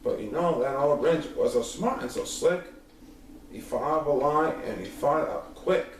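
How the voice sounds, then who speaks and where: A man reading a rhyming children's story aloud as an expressive narrator. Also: a little high, normal speed. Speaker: storybook reader. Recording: very echoey room, distant mic, faint noise.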